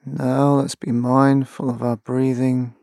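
A man leading a guided mindfulness meditation, giving gentle, measured instructions with long pauses. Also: medium pitch, level tone, gravelly, no echo, clean studio sound.

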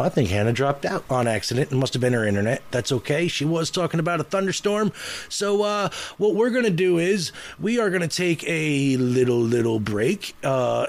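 An American man hosting a podcast in casual chat, rambling and jokey, often laughing. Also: a little high. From United States. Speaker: podcast host. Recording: music playing behind the voice. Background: metal music.

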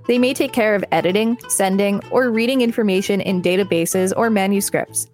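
Woman, medium pitch, podcast co-host, informational read-aloud, casual banter, music underneath. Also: brisk, darkish tone. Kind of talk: reading an encyclopedia article aloud with casual banter.

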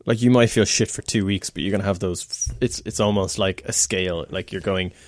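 Male co-host, very deep voice, easygoing and agreeable, dry close recording. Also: fairly quick, dry recording. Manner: agreeable, chatty, frequent backchannel. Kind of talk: casual chat.

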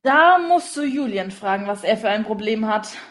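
A woman's voice: medium pitch, lively intonation, normal speed, lightly acted. A woman voicing an ambitious young female detective colleague who speaks sharply and defensively. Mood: irritated.

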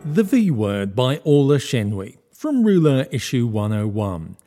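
A man announces a podcast in a soft, dark-toned voice. His pitch moves in a lively way as he reads the scripted introduction. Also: a little slow, faint music behind.

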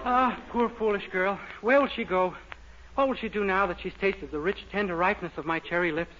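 A young man starring in a radio comedy, with boyish, goofy, excitable delivery. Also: high voice, brisk. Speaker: star of a radio sitcom. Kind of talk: 1940s radio comedy acting. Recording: dull old radio recording with hiss.

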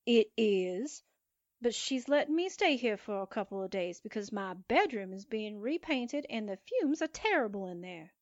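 A woman's voice: mid-range voice, big pitch swings, normal speed, no room sound, dull audio, close to an everyday voice. An actress playing a sassy young newspaperwoman in a 1940s-style radio drama, with slangy, streetwise delivery. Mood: dismissive, sassy. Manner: wisecracking, streetwise, brash.

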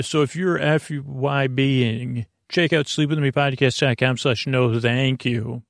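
A man with a mid-low, crackly voice hosts a sleep podcast, recorded dry with no room sound. He improvises as he goes, stumbling over repeats and drifting into silly tangents. Sentences meander and trail off. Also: creaky, no echo. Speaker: podcast host.

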